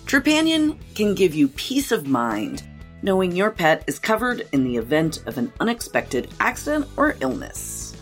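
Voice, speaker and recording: A woman's voice: lowish voice, huge pitch swings, moderate pace, soft background music. A woman hosting a dog podcast, talking in an effusive, emphatic way and laughing often. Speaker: podcast host. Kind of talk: enthusiastic podcast chat.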